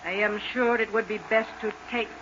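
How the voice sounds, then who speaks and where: A woman's voice: mid-range voice, little pitch movement, a little fast, natural voice. A woman playing a ruthless, scheming crime boss's daughter in a 1940s radio serial, theatrical and conspiratorial. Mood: suspicious, insistent. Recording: muffled, noisy 1940s radio recording.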